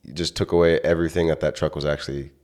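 A man co-hosting a podcast in casual chat, blunt and quick to swear as he riffs on his co-host's complaints. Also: rumbling low, level tone, darkish tone. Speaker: podcast co-host. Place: home podcast setup.

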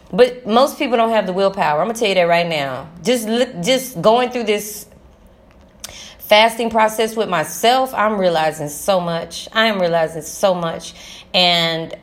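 A woman hosting a podcast, speaking in a casual, reflective motivational monologue and repeating phrases for emphasis. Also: on the low side. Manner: conversational, emphatic repetition for stress. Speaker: podcast host.

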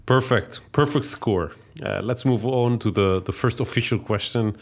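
A man's voice: lowish voice, moderate pace. A man hosting a podcast interview, speaking in a polite, hesitant way with many fillers. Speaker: podcast host.

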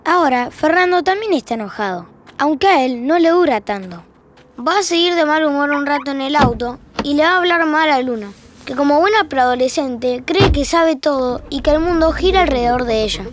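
A woman's voice: sits high, animated, brisk, background noise. A woman with an Argentine accent reading scripted, wry narration for an audio fiction.

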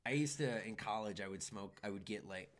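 A young man with a fairly low voice talks in a loose, rambling way, cursing often as he drifts from one story to the next. He sounds like a comedian in an easygoing podcast chat. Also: a little fast. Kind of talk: casual chat.

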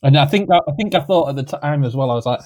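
A man's voice: on the high side, expressive, rapid-fire, a little mellow. A man in his thirties hosting a casual podcast chat from home, jokey and rambling, laughing often. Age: 30s. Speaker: podcast host. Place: home, recording remotely.

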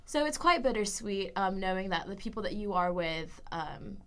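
A young woman from the Philippines with an American accent, chatting casually as a podcast guest, lively and quick with playful quips. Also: mid-range voice. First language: Tagalog. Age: young adult. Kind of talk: casual chat.

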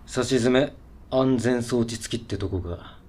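An actor playing a cocky, condescending self-styled veteran who talks in brusque hard-boiled slang in a radio drama. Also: male voice, medium pitch, measured pace, darkish tone, a little hiss or hum, natural voice. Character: cocky self-styled veteran antidepressant user talking like gunman. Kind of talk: Japanese radio drama dialogue.

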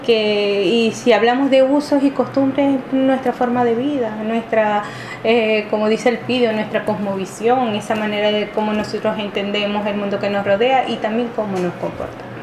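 A woman's voice: medium pitch, steady tone, moderate pace. Hesitant woman in an echoey room. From Venezuela. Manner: hesitant, many fillers and restarts. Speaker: interviewee. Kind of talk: reflective interview answers. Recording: echoey room with clear background noise.

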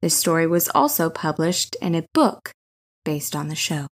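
A woman's voice: deep voice, expressive, normal speed. A woman reading a scripted introduction as the narrator of an audio story. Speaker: story reader.

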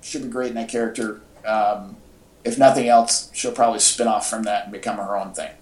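A man co-hosting a casual podcast chat, speaking in a halting, informal fan style. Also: lowish voice, fairly even intonation, darkish tone. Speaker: podcast co-host. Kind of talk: casual chat about comics and movies. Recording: echoey, roomy podcast audio.

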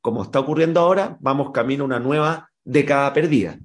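A man as an expert guest, giving measured, explanatory answers in an interview. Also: medium pitch. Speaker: political risk analyst.

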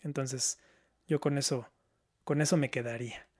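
A man's voice: mid-range voice, steady tone, normal speed, darkish tone. A man chatting casually and reflectively, repeating words as he searches for the right phrasing. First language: Spanish. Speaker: panelist, learner of Japanese.

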